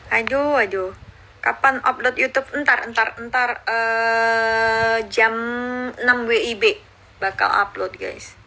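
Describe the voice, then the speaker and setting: A woman in her thirties who grew up in Indonesia, chatting casually on a phone livestream and answering viewer comments, cheerful and quick to laugh. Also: a little high, fairly even intonation, sharp tone. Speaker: livestreaming YouTuber. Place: outdoors or at home in Seoul.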